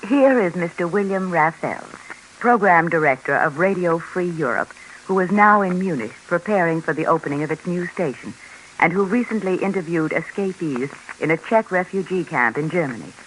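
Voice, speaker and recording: Bright, higher-pitched formal radio man. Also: lively intonation, moderate pace. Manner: formal, earnest. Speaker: radio spokesman. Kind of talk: scripted 1950s radio documentary reading. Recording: noisy vintage 1950s radio recording.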